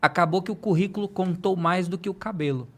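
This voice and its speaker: A man in a podcast studio talks fast in a voice pitched a little high for a man, moving from one anecdote to the next. He plays his own stories down with light humor, and his tone stays conversational and easy. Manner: brisk, anecdotal, gently self-deprecating. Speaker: physics teacher, podcast guest.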